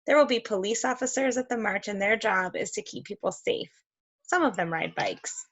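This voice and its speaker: A woman reading a picture book aloud to young children, expressive and encouraging, with conversational asides. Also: medium pitch, moderate pace. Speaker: read-aloud host for children.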